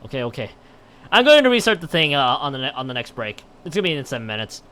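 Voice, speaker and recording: A man's voice: mid-range voice, big pitch swings, quick pace, sharp tone, smooth. A man livestreaming, chatting and reading a visual novel aloud, often stumbling over and rereading his lines. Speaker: live streamer.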